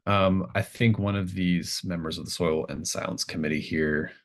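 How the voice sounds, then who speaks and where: A man narrating a tabletop roleplaying game as game master, improvising in a halting, conversational way. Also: low-pitched, steady tone, vocal fry. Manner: halting, conversational, pieces scenes together aloud.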